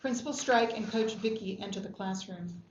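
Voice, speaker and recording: A woman's voice: mid-range voice, moderate pace. A woman reading screenplay stage directions as scripted narration at a table read. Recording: echoey room, dull lo-fi, faint noise.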